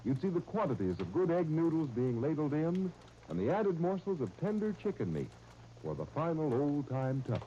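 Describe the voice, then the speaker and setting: An American man announcing on 1930s radio, narrating and pitching the sponsor in a polished, folksy, persuasive way. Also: big pitch swings, normal speed, dark tone, a little hiss or hum. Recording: muffled 1930s radio recording.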